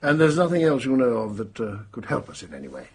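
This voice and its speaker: An actor playing a brisk, businesslike police colonel in a radio mystery, with a gravelly voice and an official, questioning manner. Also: male voice, mid-range voice, very animated, dry recording. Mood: brisk, inquiring. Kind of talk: radio mystery drama acting.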